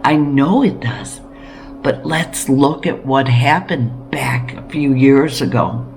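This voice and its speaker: A woman's voice: a bass voice, slow, darkish tone, faint music behind, rough recording. A woman hosting a homesteading video, talking straight to viewers in an earnest, emphatic way with rhetorical questions. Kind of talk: direct-to-viewer preparedness talk.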